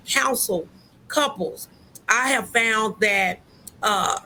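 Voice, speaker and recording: A woman hosting a conversational relationship talk show, speaking in a halting, deliberate way with drawn-out phrases. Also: medium pitch, bright, forceful tone, slight background noise.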